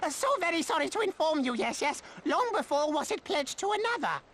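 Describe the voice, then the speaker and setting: A woman's voice: high-pitched, sing-song, brisk, fairly bright tone, slight background noise. A woman voicing a shifty, fawning little beast-folk swindler in a video game, obsequiously repeating words in clipped, backwards phrases. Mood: oily, smug. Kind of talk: video game character acting.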